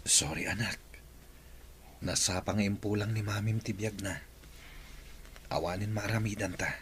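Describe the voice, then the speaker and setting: Deep-voiced, gentle grieving father. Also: a little slow. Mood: tender, sorrowful. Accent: Ilocano. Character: gentle, sorrowful widowed father of young child. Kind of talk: Ilocano radio drama acting. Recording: faint noise behind voice.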